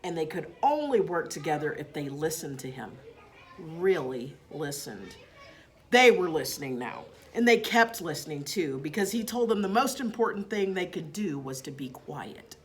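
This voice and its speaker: A woman reading a children's story aloud as a teacher, in an expressive narrating style. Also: deep voice, moderate pace.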